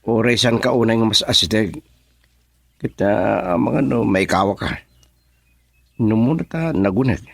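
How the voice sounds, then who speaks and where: An actor playing a lively, teasing, friendly young man in a radio drama, with an Ilocano accent. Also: on the low side, normal speed, vocal fry, long pauses, no echo.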